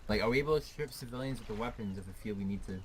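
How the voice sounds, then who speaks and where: Man, lowish voice, fast talker, casual game voice chat, faint noise. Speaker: player in an online military roleplay game.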